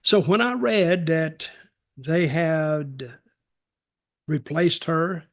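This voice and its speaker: An older man with a somewhat high voice talks casually on a podcast. He gives his opinions haltingly, often repeating a phrase and starting it again. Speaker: podcast co-host and wrestling veteran. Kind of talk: casual chat, reminiscing and giving opinions.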